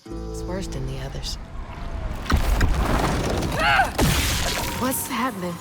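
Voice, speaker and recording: A young woman acting a plucky game heroine who thinks out loud. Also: on the low side, huge pitch swings, slow, full character voice. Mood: alarmed. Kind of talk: video game cutscene acting. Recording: loud music behind.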